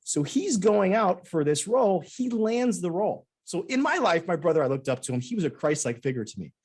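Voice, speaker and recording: Podcast host guy, husky voice, rambly and chatty, laughs at himself. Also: sits high, sing-song, fairly quick, a little mellow. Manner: chatty, rambling, self-deprecating anecdotes. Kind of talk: casual interview chat.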